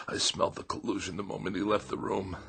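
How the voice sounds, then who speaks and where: A man's voice: on the low side, huge pitch swings, normal speed, gravelly, heavily acted. An actor playing a bitter, self-pitying hypochondriac husband in a radio drama, querulous and theatrical as he accuses and complains. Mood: bitter, indignant.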